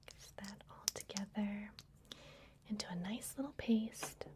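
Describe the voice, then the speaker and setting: A woman voicing a secretive, gentle vampire librarian in a breathy, soothing whisper for an ASMR fantasy roleplay. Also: mid-range voice, lightly acted. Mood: gentle, instructive. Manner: slow, soothing, confiding.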